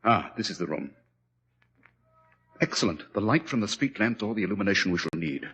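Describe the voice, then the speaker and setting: An actor playing a cool, commanding middle-aged detective in 1940s radio drama style, brisk and clipped. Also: male voice, lowish voice, lively intonation, fairly bright tone, husky, full character voice. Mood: hushed.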